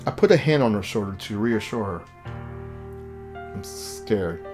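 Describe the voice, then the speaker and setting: A man's voice: on the low side, animated, quick pace, a little mellow. A man live-streaming a visual novel, reading it aloud and reacting with exasperated asides over game music. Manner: exasperated, giggly asides between read lines.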